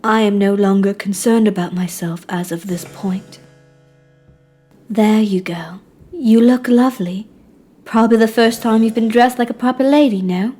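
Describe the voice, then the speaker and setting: A woman voicing a resigned, formal, cryptic servant in amateur period drama, hushed and measured. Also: mid-range voice, faint music behind, close to an everyday voice.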